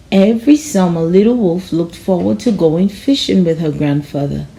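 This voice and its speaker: A woman narrating a children's storybook aloud in a measured, expressive storytelling manner. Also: lowish voice, poor audio. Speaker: storybook reader.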